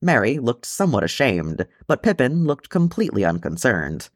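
A man's voice: medium pitch, very animated, moderate pace, dry recording, clean studio sound. A man reading a story aloud as scripted audiobook narration. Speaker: amateur audiobook reader.

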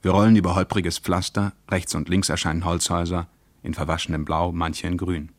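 A man playing a low-voiced, weary travel diarist. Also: level tone, a little fast, dry recording, lightly acted. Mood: weary, observant. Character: weary male traveller reading his journal aloud. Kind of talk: literary radio drama diary narration.

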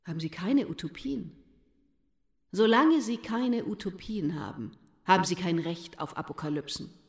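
A woman's voice: on the low side, expressive, moderate pace. A woman acting in a German radio drama as an indignant, commanding speaker who harangues with emphatic insistence. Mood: stern, quieter. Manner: emphatic, insistent, rhetorical repetition. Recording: roomy echo.

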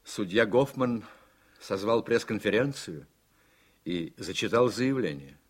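A man narrating a radio play in measured, articulate scripted storytelling. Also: fairly low pitch, lively intonation, slightly rough.

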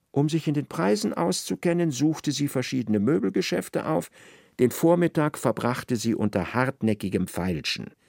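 A man's voice: medium pitch, vocal fry, darkish tone, no room sound. A man narrating a literary radio play as a storyteller, recorded in a studio. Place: dry studio.

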